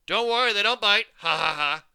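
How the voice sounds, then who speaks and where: A huge, jolly moving-man in a storybook, shouting every word in a loud, hearty voice pitched high, as a man puts him on. The tone stays bright and crisp. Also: animated, fairly quick, heavily acted. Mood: booming.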